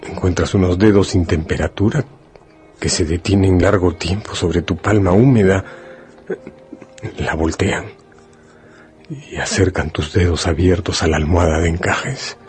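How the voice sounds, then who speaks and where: A man's voice: low-pitched, measured pace, pauses often, darkish tone. A man delivering dramatic scripted narration for a radio drama, addressing the listener directly. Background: faint music.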